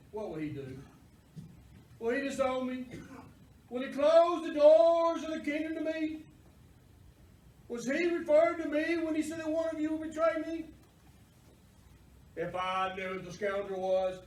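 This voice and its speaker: Echoey, distant church drama: male actor as fervent fisherman disciple, very high-pitched, declamatory, self-doubting. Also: a little hiss or hum. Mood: humbled worry, then impassioned. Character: impassioned big fisherman apostle, humbled and self-doubting. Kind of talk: church stage monologue. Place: church, live performance.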